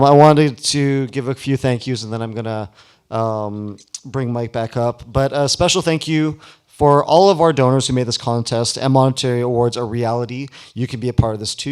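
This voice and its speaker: A man's voice: mid-range voice, clear, resonant tone. A man hosting a live ceremony in a casual, informal way with playful banter. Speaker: event host. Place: live awards ceremony before an audience.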